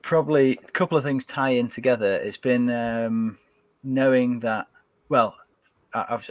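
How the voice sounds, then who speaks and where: A man's voice: fairly low pitch, no room sound. A man chatting casually as a podcast guest, rambling with many fillers and restarts. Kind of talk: casual chat.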